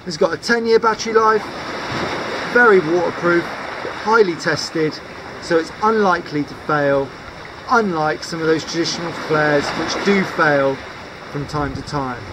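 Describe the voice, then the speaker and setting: A man's voice: on the high side, unhurried, background noise. A man in his thirties from the UK, giving a practical, upbeat demonstration of safety gear to a camera outdoors on coastal rocks. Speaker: sea kayaking instructor.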